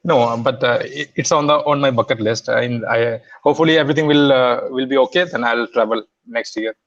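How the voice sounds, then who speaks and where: A man's voice: medium pitch, brisk. Indian guy practicing english on a call, lots of uh and restarts, friendly, kinda muffled lo-fi audio. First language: Hindi. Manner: hesitant, many restarts and fillers, friendly. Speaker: English learner. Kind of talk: casual online conversation practice.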